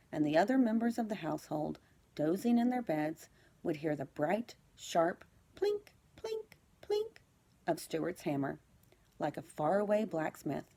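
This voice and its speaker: Female storybook reader, teacher type: lower-pitched, mellow tone with some gravelly creak, expressive delivery. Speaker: second-grade teacher reading aloud. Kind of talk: children's storybook narration.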